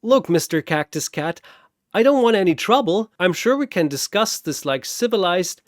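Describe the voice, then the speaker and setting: Male narrator as a hapless young pirate for a battle story: raised pitch, nervous, blustering, rambling. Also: lively intonation, moderate pace, no room sound, clean studio sound. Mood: nervous pleading.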